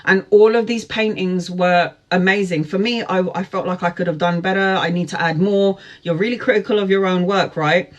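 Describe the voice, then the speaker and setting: A man's voice: sits high, fairly even intonation, moderate pace, hardly any pauses, muffled recording. A man in his forties, a tarot reader telling a rambling personal story to camera in a chatty way. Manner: rambling, chatty, frequent fillers and asides.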